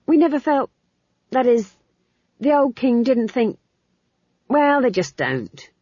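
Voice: medium pitch, big pitch swings, slow, darkish tone, dry recording, lo-fi sound, voice pushed up. A narrator playing a flustered, nervous chamberlain. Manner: flustered, stammering. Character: nervous, fumbling male chamberlain.

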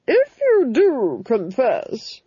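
A woman plays a haughty, cruel Duchess in an audiobook, issuing clipped, imperious commands. The voice is husky and highly varied in pitch, with an impatient menace, on a slightly dull, dry recording. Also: huge pitch swings, extremely slow, warm tone, no echo, exaggerated voice. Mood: cold menace. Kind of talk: audiobook character voice.

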